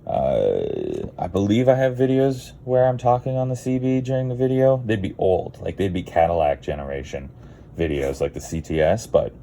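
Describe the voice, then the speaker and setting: A man's voice: low-pitched, animated, normal speed, gravelly, dark tone, slight background noise. A Canadian man giving a casual, rambling how-to vlog in a laid-back, blunt, digressive way. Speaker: car video creator.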